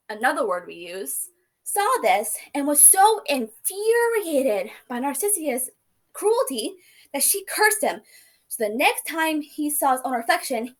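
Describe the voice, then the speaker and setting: A young woman giving a class speech, telling stories in a playful, casual way. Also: high voice. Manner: lively, playful storytelling with casual asides. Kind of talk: informative speech.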